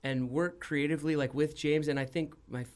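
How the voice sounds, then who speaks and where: A man's voice: fairly high pitch, fairly even intonation, fairly quick, very noisy. A young man hosting a casual studio interview, rambling, with frequent "like" and restarts. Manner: rambling, heavy use of "like", restarts. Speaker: podcast host. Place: radio studio.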